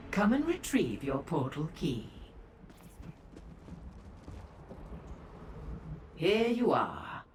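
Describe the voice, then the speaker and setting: A woman voicing a sly, seductive and haughty female demon dealer in a fantasy video game, with a teasing manner. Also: lowish voice. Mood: instructive, cool.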